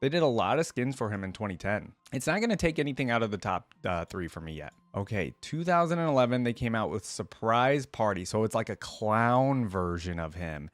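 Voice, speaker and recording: A man's voice: fairly low pitch, very animated, a little fast, few pauses, no echo. A man hosting a reaction video, giving casual, informal off-the-cuff commentary and thinking aloud as he goes. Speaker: video host reacting to game content.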